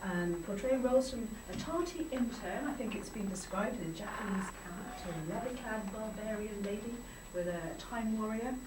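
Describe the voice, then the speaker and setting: A woman's voice: mid-range voice, normal speed, few pauses. Distant British woman in a very noisy hall. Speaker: interviewer and host. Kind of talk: live stage introduction and interview. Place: arts centre stage before an audience.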